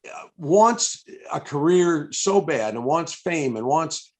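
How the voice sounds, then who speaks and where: A man conducting a conversational interview over a video call, asking long, musing questions in a halting, rambling way as he thinks aloud. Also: fairly high pitch, lively intonation. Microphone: video call microphone.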